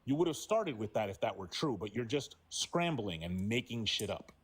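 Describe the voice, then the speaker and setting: A man's voice: lowish voice, very animated, normal speed, husky. A man co-hosting a heated debate, combative and mocking, who keeps repeating his challenges.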